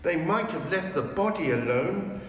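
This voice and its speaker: A man's voice: lively intonation, measured pace. A man voicing anxious, emotional young sisters in a storybook, with exclamations and urgent questions. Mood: distressed. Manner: high-pitched, breathless exclamations and anxious questions. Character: frightened, tearful young girls, sisters in a storybook. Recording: echoey church, long reverb, faint noise.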